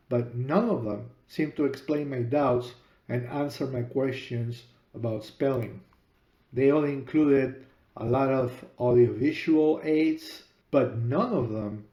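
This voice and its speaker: A middle-aged Peruvian man with a Spanish accent tells his own story in a slow, deliberate podcast monologue. His voice is mellow and warm. The room around him echoes noticeably. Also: mid-range voice. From Peru. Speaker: podcast host and author.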